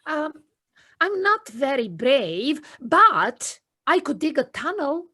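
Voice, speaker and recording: A woman's voice: sits high, very animated, bright, forceful tone, full character voice. A woman playing a timid, anxious little male mole in an amateur audio play, speaking hesitantly. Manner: hesitant, halting.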